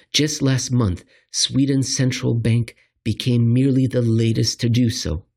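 A man with a husky, slightly rough voice reads a written finance commentary aloud. His delivery is deliberate, stretching certain words for emphasis, at a middling pitch. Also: darkish tone.

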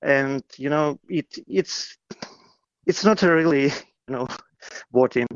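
Man from Ukraine, caller on a talk show, higher voice, hesitant with fillers, muffled dry call audio. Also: slow pace, no echo. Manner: hesitant, many fillers and restarts.

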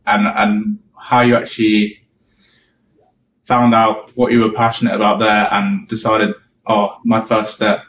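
A man's voice: lowish voice, completely flat delivery, unhurried, fairly bright tone. Male podcast host, echoey and distant. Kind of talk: conversational interview questions. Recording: echoey, distant mic, faint noise.

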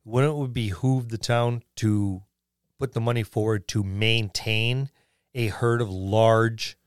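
A man's voice: fairly low pitch, fairly even intonation, takes it slowly, clear, resonant tone, no room sound. Man chatting casually on a dry recording. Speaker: podcast co-host. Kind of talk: casual chat about tabletop gaming.

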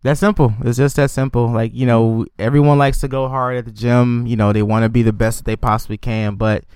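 A man in his thirties hosting a podcast, telling stories in a relaxed, rambling way with lots of fillers. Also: mid-range voice, brisk, clean tone, no echo, clean studio sound. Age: 30s. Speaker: podcast host. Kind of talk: casual chat.

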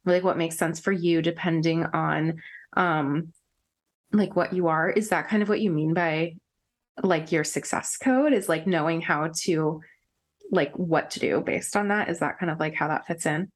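A woman's voice: low-pitched, normal speed. A woman hosting a podcast, asking questions in a casual, curious way full of "like" fillers. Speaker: podcast host. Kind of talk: podcast interview.

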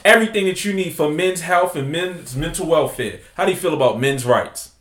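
A man hosting a casual podcast, talking in a loud, profane, joking way. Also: a little high, fairly quick, reverberant. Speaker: podcast host.

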